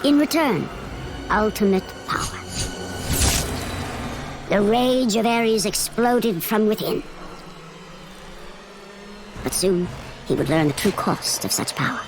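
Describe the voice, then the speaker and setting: An actress playing a solemn, portentous narrator of a Greek epic in a video game, with deliberate dramatic emphasis. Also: female voice, medium pitch, animated. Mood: grave, portentous. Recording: loud dramatic music underneath.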